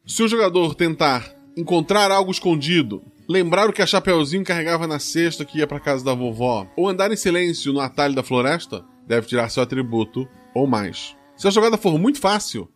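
A man from Brazil hosting a tabletop roleplaying podcast, reading an ad and explaining the game rules in a chatty, informal, persuasive way. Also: on the high side. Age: adult, played RPGs since youth. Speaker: game master and podcast host. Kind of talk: podcast ad read and rules intro.

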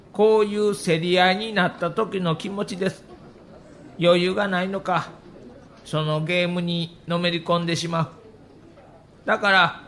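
High-voiced, weary Kansai baseball manager. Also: male voice, pushed and bright. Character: weary middle-aged baseball manager, humble, self-reproachful. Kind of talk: acted monologue in audio documentary.

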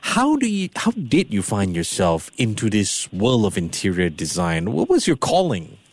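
A man hosting a radio interview show, upbeat and animated, laughing often as he talks. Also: on the low side, normal speed, dry recording. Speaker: radio host. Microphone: studio microphone.

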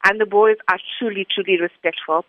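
A woman's voice: mid-range voice, steady tone, brisk, no room sound. A woman, giving earnest, articulate answers as an interview guest. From South Africa. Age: 40s. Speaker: interview guest, school academic director. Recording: dull lo-fi sound, dry.

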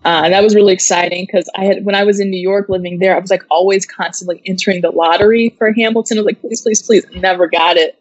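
A woman's voice: mid-range voice, steady tone, fast, hardly any pauses, dry recording, dull audio. An American woman co-hosting an entertainment show in casual, chatty talk sprinkled with "like". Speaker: co-host of an entertainment news show. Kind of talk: casual chat.

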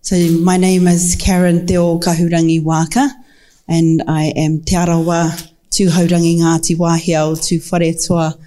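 A woman's voice: lowish voice, little pitch movement, normal speed, mellow. A woman with a New Zealand accent giving a live welcome and introductions as co-moderator. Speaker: co-moderator, film commission representative. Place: live festival talk, handheld microphone.

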